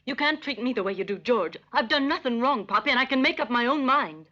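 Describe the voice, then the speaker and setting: An actress playing a defiant, pleading young daughter in love in a western film. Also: female voice, on the high side, expressive, fast talker, sharp tone. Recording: dull old film soundtrack.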